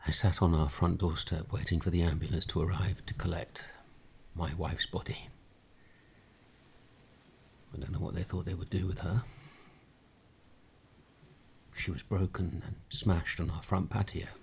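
A man with a British accent playing a weary, exasperated husband in a dramatic monologue, with a husky, gravelly voice. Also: low-pitched, little pitch movement, normal speed, darkish tone, a little hiss or hum. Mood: bleak, grieving. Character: weary, exasperated, grieving husband telling his tragic story. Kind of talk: dramatic first-person monologue.

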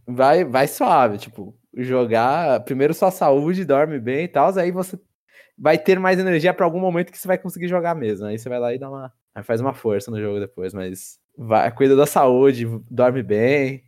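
A man's voice: mid-range voice, normal speed, a little mellow. A man, co-hosting a casual podcast chat about video games, rambling with many restarts. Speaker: podcast co-host.

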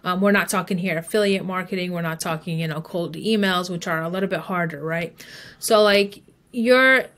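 A woman's voice: mid-range voice, a bit bright. A woman speaking as an expert guest in a conversational interview, explaining things step by step in an informal way, with plenty of "like" and "you know". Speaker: guest email marketing expert.

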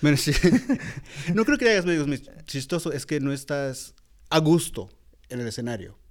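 Male co-host, relaxed conversational delivery, agreeable and easygoing. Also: a little high, normal speed. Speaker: podcast co-host. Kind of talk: casual chat.

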